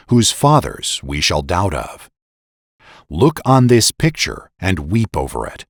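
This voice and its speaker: A man's voice: low voice, no echo, studio quality. A man narrating a dramatic reading of a historical text in a solemn, emphatic, declamatory manner. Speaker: podcast host and narrator.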